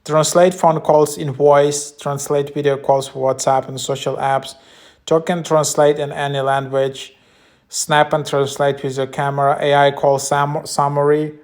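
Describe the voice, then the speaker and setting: A man with a slightly high voice presents an app in a very flat, even tone. His delivery is casual and halting, like an unscripted tech vlog walkthrough. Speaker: app review vlogger. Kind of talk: casual app walkthrough, partly reading descriptions aloud.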